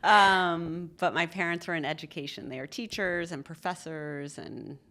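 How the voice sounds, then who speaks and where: Low-voiced woman, candid guest. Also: fairly even intonation, bright, forceful tone. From born in Colorado, raised in New Hampshire. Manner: candid, chatty, self-deprecating, quick asides. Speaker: interview guest, former media CEO. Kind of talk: casual interview conversation.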